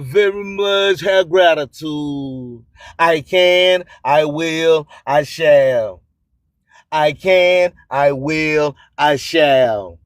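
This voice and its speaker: A man hosting radio with motivational affirmations and announcements, deliberate and repetitive like a chant. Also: sits high, clear, resonant tone, dry recording, clean studio sound. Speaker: radio host.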